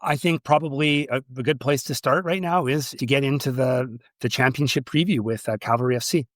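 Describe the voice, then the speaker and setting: A man's voice: mid-range voice, brisk, fairly bright tone, no room sound, studio quality. A man hosting a casual sports podcast, nasal from a slight cold, talking in a hesitant way with many restarts. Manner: hesitant, restarts often with "uh" and "you know". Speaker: podcast host.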